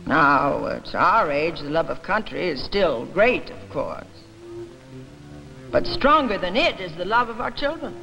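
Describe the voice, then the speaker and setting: A woman's voice: on the low side, sing-song, gravelly, sharp tone, soft background music, heavily acted, poor audio. Woman acting a fat, impassioned older father. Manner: rhetorical, emphatic, halting on repeated asides. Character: fat, impassioned older father travelling by train, bitterly defiant.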